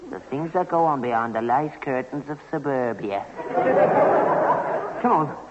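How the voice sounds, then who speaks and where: A man's voice: medium pitch, expressive, unhurried, a loud crowd, very noisy, close to an everyday voice. An actor playing a grumbling, scornful old London Cockney father in a 1960s radio sitcom. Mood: nosy disapproval. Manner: querulous, scornful. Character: grumbling, mocking, sly old rag-and-bone man father. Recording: dull 1960s radio recording.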